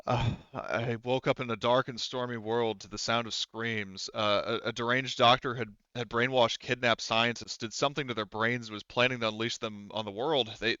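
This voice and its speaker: Man voicing a shaken, dazed woman scientist, smooth voice. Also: mid-range voice, fairly even intonation, normal speed, hardly any pauses, sharp tone, no room sound, highs cut off, natural voice. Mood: dazed, grim.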